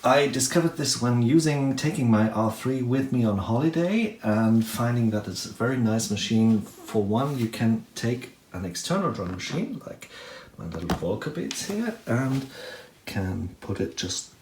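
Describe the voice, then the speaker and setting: A man's voice: mid-range voice, animated, a little slow, a little mellow. A man giving a hands-on, unscripted synthesizer tutorial, thinking aloud as he works the gear. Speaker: demonstrator of a synthesizer patch. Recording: roomy echo, noticeable background noise, clicks.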